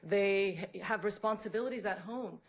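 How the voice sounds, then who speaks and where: A woman's voice: medium pitch, normal speed, lo-fi sound. A woman giving a prepared public presentation as a school principal, earnest and repeating phrases for emphasis. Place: public board meeting room, at a podium.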